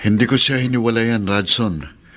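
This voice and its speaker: A man's voice: mid-range voice, moderate pace, fairly bright tone, natural voice. A man acting a stubborn, suspicious, defensive young husband in a Filipino radio drama, curt and indignant.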